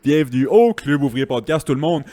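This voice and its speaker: A man's voice: on the high side, lively intonation, brisk, warm tone, no echo. A man with a Quebec French accent hosting a podcast in loose, joking, casual chat. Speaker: podcast host. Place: podcast studio.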